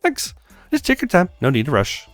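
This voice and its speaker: A man's voice: high voice, huge pitch swings, normal speed, no echo, soft background music, voice pushed up. A man voicing a courteous, easygoing handywoman in her twenties for a visual novel game. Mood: polite. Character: friendly, polite young handywoman of twenty-four.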